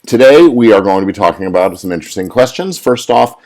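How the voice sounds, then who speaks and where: A man's voice: lowish voice, animated, quick pace, darkish tone. A middle-aged man hosting his own live podcast, chatty and casual, with many fillers and restarts. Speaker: podcast host, lawyer. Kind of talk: solo live podcast talk. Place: hotel at a conference.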